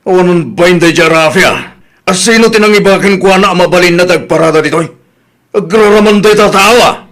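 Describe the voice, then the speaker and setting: A man's voice: high-pitched, fairly quick, a bit bright. A man with a Filipino accent playing a greedy, argumentative middle-aged uncle in a comedy radio drama. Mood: furious, shouting. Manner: argumentative, scolding. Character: greedy, quarrelsome uncle feuding over inherited land.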